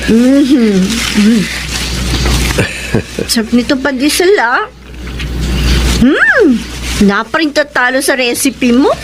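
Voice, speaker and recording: A woman acting in a Filipino radio drama as a soft-hearted young wife left by her husband, with a Filipino accent and an expressive, plaintive delivery. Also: sits high, takes it slowly, pauses often, background noise, exaggerated voice. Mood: delighted. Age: young adult. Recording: music behind the voice.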